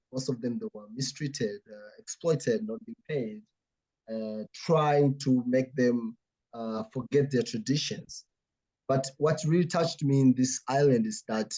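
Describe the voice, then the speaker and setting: A man from Tanzania, speaking as an artist in an earnest, reflective way and often pausing to search for words. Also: medium pitch, mellow, low-quality recording. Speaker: artist guest. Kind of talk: artist talk, reflective explanation.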